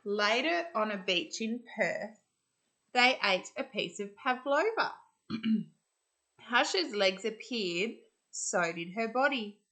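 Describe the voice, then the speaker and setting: Slow, bright Australian woman storyteller. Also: fairly high pitch. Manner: expressive, deliberate storytelling with stretched words. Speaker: school storyteller. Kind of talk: children's storybook narration.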